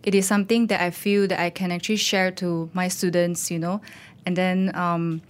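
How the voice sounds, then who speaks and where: A woman's voice: fairly low pitch, flat delivery, normal speed, smooth. A woman, an animator and teacher, talking loosely in an interview with many "you know"s. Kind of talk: casual interview talk.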